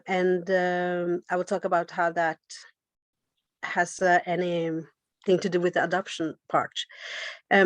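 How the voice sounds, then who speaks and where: Woman in her thirties, from Colombia, panelist; slow halting delivery, dry close sound. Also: fairly low pitch, no echo. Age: 30s. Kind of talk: panel self-introduction.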